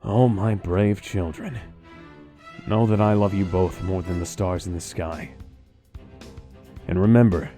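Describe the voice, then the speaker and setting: A man's voice: low voice, a little slow, long pauses, warm tone. A man playing a tender, resolute scientist father in a space comic drama, earnest and dramatic, over music. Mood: tender, solemn. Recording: music under the voice.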